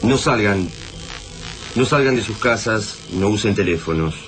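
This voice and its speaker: Casting: a rattled Argentine on-air host in radio drama, fairly deep, halting, trying to stay composed. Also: male voice, lively intonation, fairly bright tone, faint music behind. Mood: anxious, reassuring. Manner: hesitant, stammering, earnest. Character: bewildered male radio presenter struggling to keep calm. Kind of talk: halting, improvised-sounding radio drama acting.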